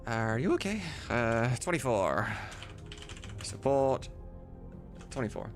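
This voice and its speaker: A man's voice: mid-range voice, sing-song, takes it slowly. A man streaming as he works on a game mod, talking casually and informally, often muttering to himself. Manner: informal, sweary, muttering to himself while working. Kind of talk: casual livestream work chat. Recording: music playing behind. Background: music, computer keyboard typing.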